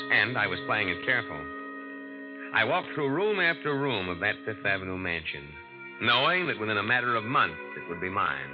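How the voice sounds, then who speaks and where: Hard-boiled young male impostor narrating, deep voice, muffled vintage radio with music. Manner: hard-boiled, cool, low-key. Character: smooth young male con man posing as grandson. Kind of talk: 1940s radio acting.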